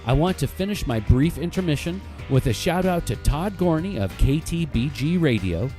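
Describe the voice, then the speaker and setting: Dark-toned male podcast host over guitar music. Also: fairly high pitch, expressive, normal speed. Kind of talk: casual promotional intermission announcement.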